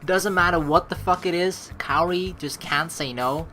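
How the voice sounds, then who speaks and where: A woman hosting a let's play in an excitable, reactive way, commenting casually and reading narration aloud. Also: fairly low pitch, moderate pace, slight background noise.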